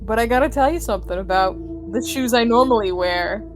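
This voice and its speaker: A woman's voice: on the high side, fairly quick. A woman playing a tabletop game, bantering casually in a wry, joking way. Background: music.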